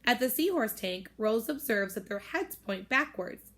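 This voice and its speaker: A woman teacher reading a novel aloud to her students, with expressive narration. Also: a little slow. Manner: expressive, raises pitch for girls' voices. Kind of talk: storybook read-aloud with character voices.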